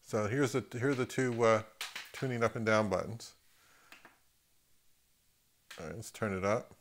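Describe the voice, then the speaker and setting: A man giving a casual, unscripted equipment review as a video host, thinking aloud in a halting way and repeating words. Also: fairly low pitch, slight background noise. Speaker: host of an audio gear video channel.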